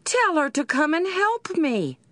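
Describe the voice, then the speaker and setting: Exasperated hardworking woman, scripture audio drama, very high pitch, pleading complaint, no room sound. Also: sing-song, a little slow, a bit bright, no room sound, heavily acted.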